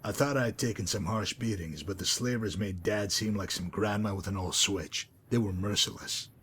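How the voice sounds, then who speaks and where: Man acting a low-voiced, menacing wasteland hitman. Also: a bit bright, a little hiss or hum, close to an everyday voice. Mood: bitter, reflective. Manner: measured, deliberate, coolly condescending. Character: menacing, calm male wasteland hitman with a bitter past. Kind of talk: dramatic video game mod monologue and dialogue.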